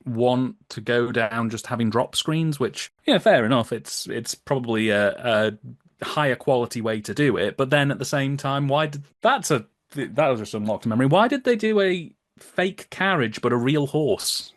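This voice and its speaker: A man talks as a podcast guest in an easy, conversational style. His voice sits in the middle range and the sound is dry. He explains and tells stories with an amused, animated delivery. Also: mid-range voice, moderate pace, dry recording. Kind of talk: casual conversational commentary.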